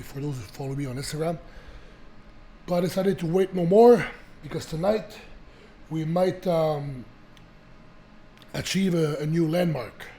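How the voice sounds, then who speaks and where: Raspy-voiced man vlogging through a cold. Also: fairly high pitch, dark tone, a little hiss or hum. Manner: rambling, with restarts and fillers. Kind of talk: casual chat to camera.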